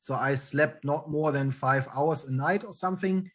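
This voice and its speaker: A middle-aged man with a thick German accent, a German native speaker telling his career story in an interview, acting out the dialogue with frequent ums and restarts. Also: on the high side. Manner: lively, quick storyteller who acts out quoted dialogue, with frequent ums and restarts. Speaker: guest, trademark attorney.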